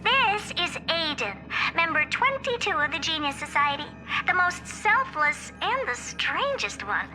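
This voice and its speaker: A woman's voice: very high-pitched, very animated, a little slow, heavily acted, rough recording. A woman voicing a proud, eccentric, youthful female genius scientist in a video game, self-assured and theatrical, delivering scripted monologues. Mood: smug, reflective. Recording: music behind the voice. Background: music.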